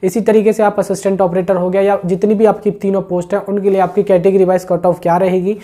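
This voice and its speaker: A man's voice: sits high, level tone, fast talker, mellow. A man giving an informal explanatory briefing as an online video host, repeating his points and often adding small check-in tags. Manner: repetitive, with frequent check-in tags. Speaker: exam-update YouTube host.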